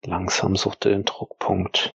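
A man narrating an audio drama in a dramatic scripted style, husky and gravelly, with terse, weighty phrases and pauses. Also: low-pitched, monotone, fairly bright tone, no echo, highs cut off.

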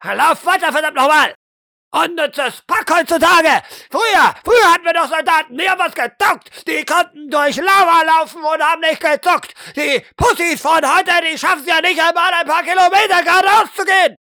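Man playing a brutal drill instructor, shrill and barking. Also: moderate pace, pushed and bright, no echo, studio quality, full character voice. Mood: furious, contemptuous. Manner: barking, taunting, insulting. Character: brutal, contemptuous male military drill instructor. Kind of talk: audio role-play military drama.

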